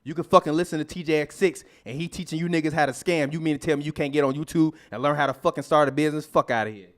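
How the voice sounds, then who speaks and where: Podcast co-host, 30ish, high voice, profane repetitive ranter. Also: male voice, racing. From United States. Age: 30s. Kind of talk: heated podcast debate.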